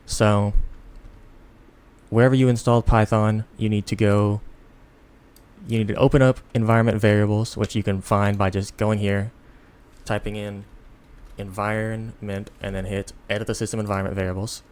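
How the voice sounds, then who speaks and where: A tired man giving a casual, unscripted software tutorial in a rambling, self-deprecating way. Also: on the low side, little pitch movement, normal speed, clear, resonant tone. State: tired, sleepy. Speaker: tutorial host.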